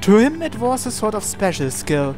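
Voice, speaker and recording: A man with a fairly high voice reads story narration from a game aloud, slipping into casual asides as a channel host. Game music plays behind him. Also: big pitch swings, moderate pace.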